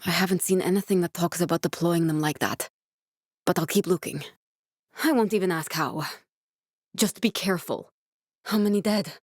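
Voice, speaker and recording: An actress playing a guarded, driven scientist in a video game, speaking in a measured, clinical way. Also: female voice, fairly low pitch, dry recording, clean studio sound, lightly acted. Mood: focused, serious. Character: guarded, driven woman scientist guiding a survivor, dry and earnest. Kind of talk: video game character voice acting.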